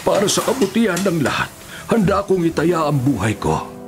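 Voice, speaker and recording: An earnest elderly preacher, music behind. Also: male voice, on the high side, very animated, moderate pace. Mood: humble, earnest. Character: elderly pious male prisoner who preaches the Bible. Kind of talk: radio drama acting.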